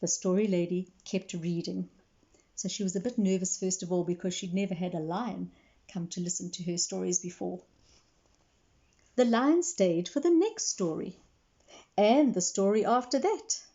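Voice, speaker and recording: Lady librarian reading kids a story, mellow voice, explains as she goes, bit muffled audio. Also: fairly low pitch, normal speed. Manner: clear explanatory storytelling for young listeners. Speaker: librarian reading a picture book aloud to children. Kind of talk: storybook read-aloud with simple explanations for children.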